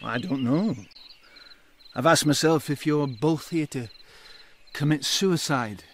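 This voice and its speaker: An actor playing a wry, hard-drinking colonial gentleman in a radio drama, teasing and cynical, with a sardonic, probing way of talking. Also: fairly high pitch, takes it slowly, slight background noise.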